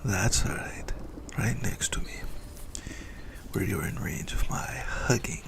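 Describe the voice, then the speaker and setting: A man playing a possessive, doting ancient vampire in a breathy, whispered ASMR roleplay, teasing and intimate as he savors his words. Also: fairly low pitch, lively intonation, slow, full character voice. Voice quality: breathy whisper with gravelly creak.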